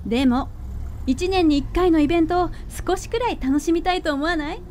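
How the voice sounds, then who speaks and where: A woman playing a cheerful, teasing young girlfriend in a radio drama, with a bright, girlish manner. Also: extremely high pitch, fairly quick, slight background noise. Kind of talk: Japanese radio drama acting.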